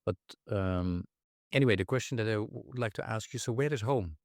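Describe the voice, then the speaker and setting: A man's voice: on the low side, a little fast, no room sound, studio quality. A middle-aged man hosting a podcast interview, easygoing and chatty, with frequent "you know". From Netherlands. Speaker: podcast host.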